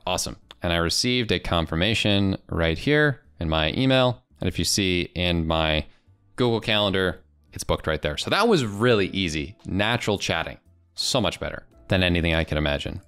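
A young American man with a low, smooth, clean voice talks through a product demo in a casual presenter style. He speaks close to a desk microphone in a small indoor studio. Faint music plays behind him. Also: animated, a little fast, fairly bright tone. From United States. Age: 20s. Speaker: presenter of software review videos.

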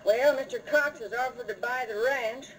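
A woman's voice: on the high side, moderate pace, a bit bright, close to an everyday voice. A tired, grieving American ranch widow a woman acting at her farmhouse table in a radio Western, folksy and resigned, through slight old-broadcast hiss. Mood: resigned, sorrowful. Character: weary, grieving frontier ranch widow, motherly. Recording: noisy old radio recording.

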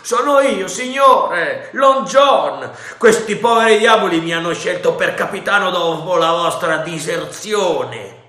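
A man voicing a cunning one-legged pirate captain who talks in a loud, cajoling, boisterous way. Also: high voice, animated, measured pace, few pauses, voice pushed up, exaggerated voice. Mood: wheedling. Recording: roomy echo.

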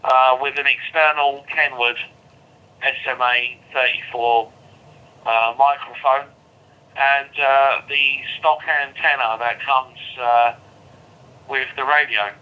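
A man's voice: medium pitch, steady tone, slow, smooth. A male amateur radio operator in a casual two-way radio chat, phrasing things in hesitant, stop-start pieces. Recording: dull, noisy handheld radio transmission.

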